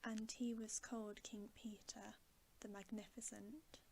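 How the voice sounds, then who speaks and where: A woman reading a bedtime story for ASMR in a soft, gentle, breathy voice that often drops to a whisper. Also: a little high, level tone, poor audio. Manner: hushed, unhurried, gentle. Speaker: ASMR reader.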